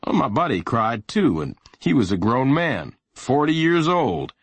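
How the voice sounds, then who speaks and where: A man voicing a big, folksy policeman in a storybook, steady and reassuring in his manner. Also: mid-range voice, unhurried, husky, no echo, voice pushed up, heavily acted. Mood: chatty, steady.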